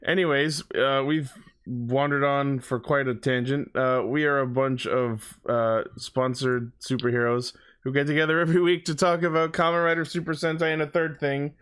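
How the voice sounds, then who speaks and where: A man's voice: medium pitch, fairly even intonation, moderate pace, a bit bright, clean tone. A man hosting a podcast in a casual, conversational fan-chat style. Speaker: podcast host.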